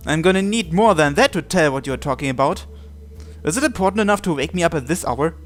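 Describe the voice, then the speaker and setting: A man's voice: a little high, animated, quick pace, soft background music. A man hosting a let's-play, reading a visual novel's narration and every character's lines aloud in his own voice.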